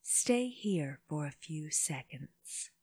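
A woman leading a guided meditation for children, speaking gently in short phrases with long pauses, her breathy voice often dropping toward a whisper. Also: low-pitched, animated, dry recording. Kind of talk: slow guided body scan meditation.

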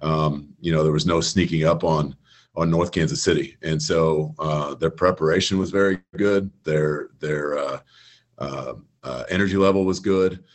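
Rich: A football coach speaks in a very low, husky voice over a video call. He rambles through his answers, stopping and restarting with plenty of fillers. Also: fairly even intonation, moderate pace. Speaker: high school football coach, guest. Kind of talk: casual radio interview.

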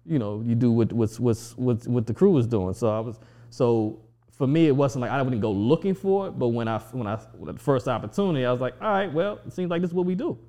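A man's voice: medium pitch, expressive, brisk, dark tone. Reflective man in his forties. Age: 40s. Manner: reflective, many restarts and fillers. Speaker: guest. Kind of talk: casual conversation.

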